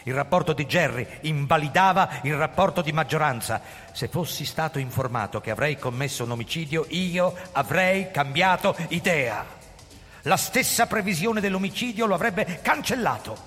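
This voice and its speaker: An actor playing a hunted middle-aged police chief in a radio play, telling his story theatrically, moving between hushed narration and agitated outbursts. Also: on the high side, lively intonation, moderate pace. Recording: long hall reverb, music underneath. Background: music.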